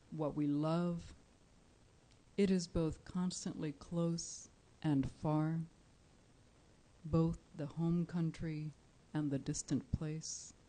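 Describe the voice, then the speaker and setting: A woman from Cuba, a Spanish speaker, giving a live poetry reading, measured and deliberate with soft pauses. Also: deep voice, rough recording. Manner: measured, deliberate, pausing often with soft fillers. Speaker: featured poet. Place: indoor live reading event.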